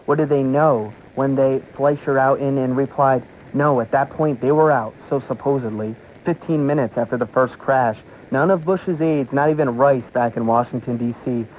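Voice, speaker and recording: A man's voice: medium pitch, steady tone, normal speed, hardly any pauses, darkish tone, dull audio. Man reading on faintly noisy shortwave radio. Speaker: shortwave radio talk show host. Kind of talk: reading an article aloud on air.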